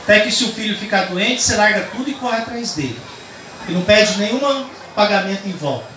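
A man's voice: high-pitched, expressive, a little fast, distant mic, a little hiss or hum. A man with a Brazilian Portuguese accent, a priest giving an earnest homily that builds to emphatic points at an outdoor night Mass under a tent. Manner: earnest storytelling, rising to emphatic. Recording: roomy sound over a loud crowd.

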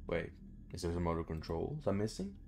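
A man's voice: low voice, big pitch swings, fairly quick, a little mellow, a little hiss or hum. A young man giving casual, sweary let's play commentary, muttering to himself as he goes. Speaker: gaming video host. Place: small room indoors at home.

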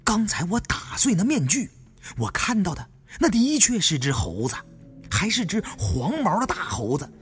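A man's voice: sits high, sing-song, normal speed, husky, soft background music, exaggerated voice. Man playing an earnest young adventurer hero. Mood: bewildered.

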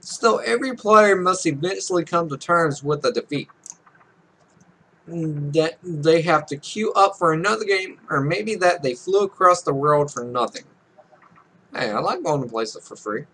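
Higher-pitched young man, offhand gamer commentary. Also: lively intonation, unhurried, fairly bright tone, breathy edge. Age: 20s. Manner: rambling, offhand asides. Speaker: let's play host. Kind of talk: casual gameplay commentary mixed with reading game text aloud. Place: small home room, indoors. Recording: noisy home recording.

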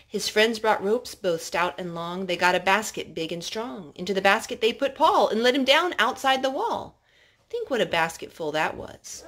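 A woman reading children's picture books aloud in an expressive, measured storytime style. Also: medium pitch. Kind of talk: read-aloud storytime narration. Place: indoors at home.

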